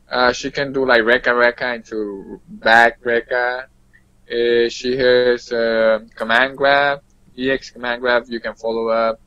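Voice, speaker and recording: A man giving casual game impressions as a podcast guest, in loose, halting phrases peppered with "like". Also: medium pitch, bright, forceful tone. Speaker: guest player on a gaming podcast. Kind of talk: casual game analysis chat. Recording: dull lo-fi audio in a roomy space.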